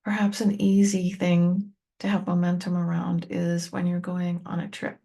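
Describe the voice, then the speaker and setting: A woman leading a guided meditation, speaking gently and unhurriedly to the listener in short, spaced-out phrases. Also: fairly low pitch, completely flat delivery, moderate pace, creaky, breathy edge. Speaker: meditation teacher and tapping coach.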